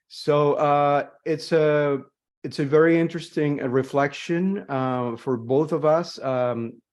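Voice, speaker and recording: A man speaking over a video call, relaxed and conversational. He reflects aloud on his classroom experience, pausing often to find the next word, at an ordinary middle pitch. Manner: conversational, reflective, frequent pauses to find words. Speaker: language teacher presenting a chapter. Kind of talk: online book launch talk.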